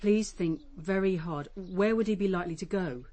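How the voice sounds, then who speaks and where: An actress plays a policewoman in a radio drama. Her voice is a little low and composed, and she questions with polite insistence. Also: normal speed, dark tone, lightly acted. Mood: composed, insistent.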